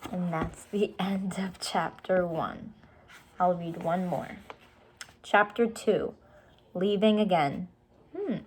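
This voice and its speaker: Slow-paced woman reading a storybook. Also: fairly low pitch, darkish tone, slight background noise. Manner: explains words simply for young listeners. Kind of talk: children's storybook read-aloud.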